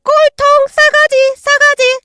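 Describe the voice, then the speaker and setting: A woman voicing a cheeky pet parrot that shouts insults in shrill, repeated bursts. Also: little pitch movement, takes it slowly, no room sound, exaggerated voice. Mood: taunting. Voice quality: shrill, squawking. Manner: repeats words in loud chanting bursts.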